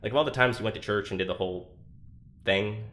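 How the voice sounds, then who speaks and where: An actor playing a troubled, anxious young man in a confessional audio drama, speaking haltingly with self-deprecating, dry sarcasm. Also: on the low side, fairly bright tone, lightly acted. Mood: self-doubting, despondent.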